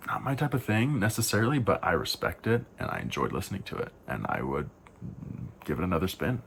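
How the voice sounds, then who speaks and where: A young man with a very low, deep voice runs through album after album in a loose, talky way, frank and a little rambling, often stopping to correct himself. His tone has a gravelly, fry-heavy edge, and faint background noise sits under the recording. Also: sing-song, a little fast, a bit bright. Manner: rambling, frank, self-correcting with sighs and asides. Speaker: album reviewer. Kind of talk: casual spoken album rundown.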